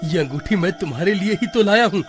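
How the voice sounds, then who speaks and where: A man acts as a sly, defensive village husband in a cartoon. He has a somewhat high voice and a theatrical, exclaiming delivery, with music clearly behind him. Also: expressive, fast talker. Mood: boastful. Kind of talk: animated story acting.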